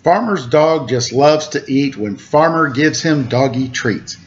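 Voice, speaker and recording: A man in his forties reading a children's picture book aloud in a playful, expressive way, with chatty asides. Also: mid-range voice, unhurried. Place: room at home, indoors. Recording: muffled webcam audio with clear background noise.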